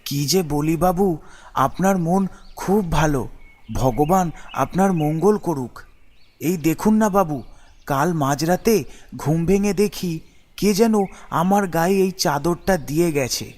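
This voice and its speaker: A man voicing a poor village beggar, humble and grateful, with a deferential, pleading manner in an animated story. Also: a little high, measured pace, long pauses, lightly acted.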